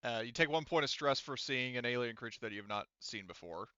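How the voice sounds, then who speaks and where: A man's voice: mid-range voice, lively intonation, fast, sharp tone, smooth, no room sound, dull audio. A man running a tabletop roleplaying game as game master, talking through rules and action in casual, sweary language. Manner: informal, swears freely.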